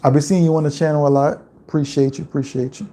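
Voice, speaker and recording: A man from Louisiana with a Louisiana accent, chatting casually on a livestream and reading out viewer comments in a relaxed, rambling way. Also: a little high, fast talker. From Louisiana, USA. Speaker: livestream host.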